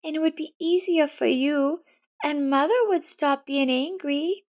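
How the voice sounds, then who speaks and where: A woman's voice: high voice, a little slow, darkish tone, pitched above the performer's own voice. A woman voicing a sad, frightened five-year-old girl in a narrated family drama story. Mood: sad, soft.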